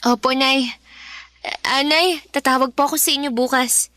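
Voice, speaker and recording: A woman's voice: high voice, steady tone, moderate pace, pushed and bright, dry recording. A woman playing a dutiful, hurt young student daughter in a Filipino radio drama. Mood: tender, reassuring.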